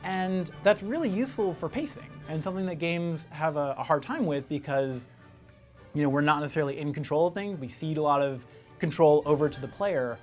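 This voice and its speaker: A man's voice: on the high side, a little fast. A man who develops games, talking casually in an interview, thinking aloud with frequent "you know". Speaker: game developer being interviewed. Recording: music behind the voice. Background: background music.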